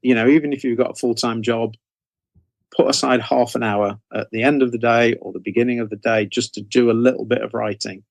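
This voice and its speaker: A man's voice: medium pitch, steady tone, brisk, vocal fry, no room sound. A middle-aged man giving conversational interview answers as a guest author, rambling a little as he repeats and rephrases.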